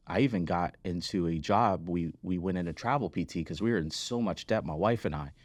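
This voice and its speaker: A man's voice: low-pitched, brisk, dry recording. A man speaking as an interview guest, telling his story conversationally, stressing key words and often checking in with "right?". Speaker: podcast guest, physical therapist and business founder.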